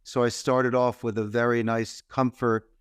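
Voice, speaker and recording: A man's voice: medium pitch, flat delivery, moderate pace, clean tone, no room sound, studio quality. A man in his fifties with a Philadelphia accent, telling his story as a guest in an emphatic, speech-like way. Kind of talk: interview storytelling.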